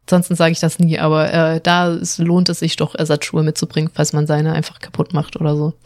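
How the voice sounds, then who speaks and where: A young woman chatting casually, full of fillers and quick laughs. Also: low-pitched, monotone, darkish tone, dry recording. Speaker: co-host. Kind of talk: casual chat. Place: living in Japan.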